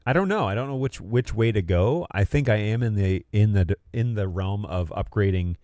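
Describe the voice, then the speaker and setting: A man's voice: lowish voice, animated, normal speed, darkish tone, no room sound. A man hosting a casual livestream, rambling and thinking aloud as he talks through tech. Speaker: livestream host. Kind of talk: casual livestream chat about video production gear.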